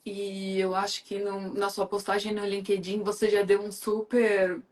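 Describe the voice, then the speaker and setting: A woman's voice: medium pitch, flat delivery, normal speed. A woman from Brazil hosting a live stream, talking in a chatty, enthusiastic way with lots of fillers. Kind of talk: casual live chat.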